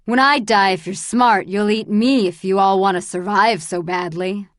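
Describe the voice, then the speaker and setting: A woman reading an audiobook, voicing a bitter, sneering older man who shouts harshly and scornfully. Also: medium pitch, fairly even intonation, moderate pace, no echo, studio quality, natural voice.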